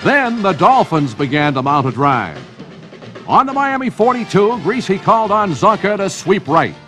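An American man narrates a football highlight film from a dramatic script. His voice is fairly high and moves in a lively range, with music underneath. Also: normal speed.

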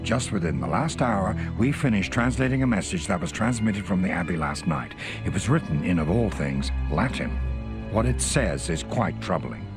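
An actor playing a measured, concerned Allied intelligence officer briefing an agent in a video game. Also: male voice, low voice, animated. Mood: grave. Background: background music.

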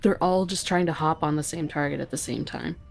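A woman with a slightly low voice talks as a guest on a podcast. She explains her reasoning in an informal, conversational way. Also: brisk, creaky. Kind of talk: casual explanatory discussion.

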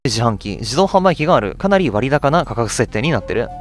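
A man's voice: medium pitch, big pitch swings, brisk, faint music behind. A man hosting a let's play, chatting casually to viewers and reading bits of on-screen text aloud as he goes.

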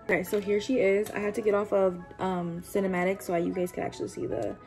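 A woman in her twenties talking candidly into the camera in her bedroom while doing her makeup, cursing casually and filling every pause with "like". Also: medium pitch, little pitch movement, a little mellow, faint music behind. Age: 20s. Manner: chatty, candid, swears often, lots of "like". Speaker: vlogger. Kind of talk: casual get-ready-with-me chat. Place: bedroom, indoors. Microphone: camera-facing, doing makeup at a desk.

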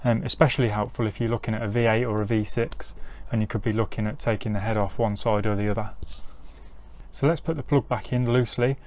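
A man's voice: fairly low pitch, fairly even intonation, fast talker, a little mellow. A middle-aged man working as a mechanic, giving an informal, step-by-step technical tutorial outdoors on a driveway. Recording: clear ambient noise, outdoor driveway.

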